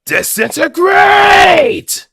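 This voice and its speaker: A man's voice: extremely high pitch, very animated, extremely slow, sharp tone, dry recording, shouting, clean studio sound, voice pushed up, heavily acted. A man voicing a fierce, resolute anime mecha pilot, delivering melodramatic robot game battle lines in a declamatory way. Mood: battle fury. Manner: dramatic, declamatory.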